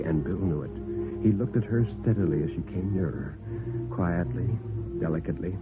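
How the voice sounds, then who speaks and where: Tinny vintage-radio man, animated dramatic storyteller. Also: fairly low pitch. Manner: theatrical, suspenseful, deliberate phrasing. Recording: tinny band-limited vintage radio recording.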